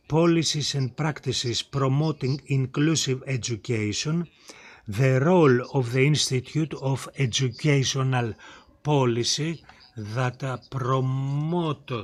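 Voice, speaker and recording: A man moderating a conference panel, summing up talks and introducing speakers in a halting way with many fillers. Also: mid-range voice, no room sound. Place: conference hall.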